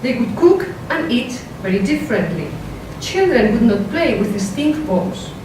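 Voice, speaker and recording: A woman philosopher with a strong Spanish accent giving a workshop talk, careful and measured, with hesitant fillers when she speaks off the script. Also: a little high, sing-song. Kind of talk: academic lecture, partly read from a script. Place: lecture room. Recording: echoey lecture hall, mic from a distance, noisy.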